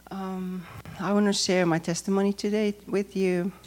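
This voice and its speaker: A woman from Finland with a Finnish accent, speaking English as a second language and giving a personal testimony in a careful, hesitant way with frequent pauses. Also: medium pitch, flat delivery, darkish tone. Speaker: church member giving testimony.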